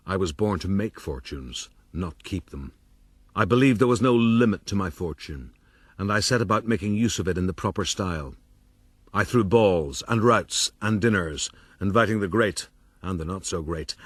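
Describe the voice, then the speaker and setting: An actor playing a vain, self-satisfied gentleman adventurer, pompously narrating his own rise in a radio drama. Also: sits low, breathy edge, dry recording. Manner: pompous, formal. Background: music.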